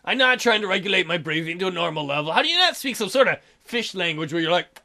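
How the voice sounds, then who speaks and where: Man, let's play host, high pitch, bright tone, story reading, irreverent sarcastic commentary. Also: sing-song, fast, gravelly. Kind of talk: reading story text aloud with joking asides.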